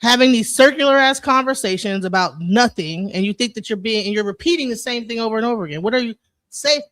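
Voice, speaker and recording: A woman in her forties talking bluntly and profanely in a loud, mocking way during a casual discussion. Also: a little high, expressive, quick pace, no room sound. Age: 40s.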